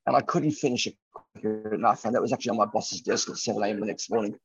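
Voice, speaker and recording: A man's voice: on the low side, fairly even intonation, fast talker, slightly rough, no room sound. A middle-aged man speaking as an entrepreneur on a panel, telling anecdotes in an emphatic, conversational way. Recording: lo-fi, muffled audio.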